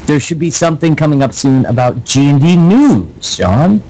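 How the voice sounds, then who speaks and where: A man's voice: fairly high pitch, very animated, moderate pace, warm tone, rough recording. Dry, hesitant male radio co-host. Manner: dry, hesitant, many fillers while reading. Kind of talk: casual banter and reading news items.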